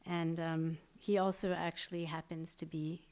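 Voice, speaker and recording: A woman's voice: low-pitched, level tone, normal speed, darkish tone. A woman moderating a live event, introducing the speakers in an upbeat, conversational way. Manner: upbeat, conversational, some fillers.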